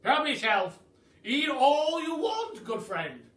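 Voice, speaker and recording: A man voicing a loud, pompous, generous aristocratic Duke from a storybook, grand and exclamatory. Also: very high-pitched, huge pitch swings, slow, voice pushed up, heavily acted. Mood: hearty, generous. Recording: roomy echo, far from mic.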